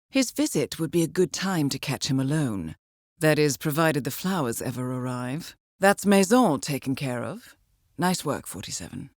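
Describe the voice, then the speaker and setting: A woman playing a cool, composed intelligence handler in a video game, giving mission briefings in a measured, formal, dryly witty manner. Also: fairly low pitch, no echo, clean studio sound.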